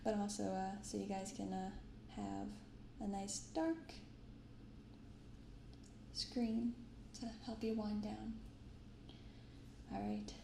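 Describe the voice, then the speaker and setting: Female ASMR host, hushed and gentle, slow delivery with some vocal fry, binaural mic. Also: mid-range voice, steady tone. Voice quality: soft-spoken, hushed. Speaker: host of a card-opening ASMR channel. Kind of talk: soft-spoken ASMR vlog. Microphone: binaural microphone. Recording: roomy sound with faint background noise. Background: light rain, faint ambient noise.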